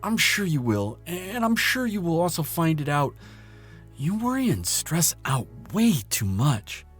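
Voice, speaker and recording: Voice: mid-range voice, sing-song, a little slow, breathy edge, faint music behind. A performer playing a nervous, kind lab assistant in an audio roleplay drama, hesitant and stammering. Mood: shy, earnest.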